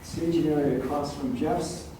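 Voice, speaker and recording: An older man who is a longtime local resident, speaking softly and haltingly at a community meeting. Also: on the high side, fairly even intonation. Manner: soft-spoken, rambling with pauses. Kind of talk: public comment at a community meeting. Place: large echoey meeting room. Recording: echoey room, distant mic, faint hiss.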